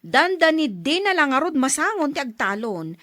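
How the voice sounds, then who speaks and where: A practical farmer's wife, played by an actress, in a radio drama who grumbles with a teasing edge. Her voice is bright, lively and a little high. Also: moderate pace, dry recording, clean studio sound. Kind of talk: audio drama acting.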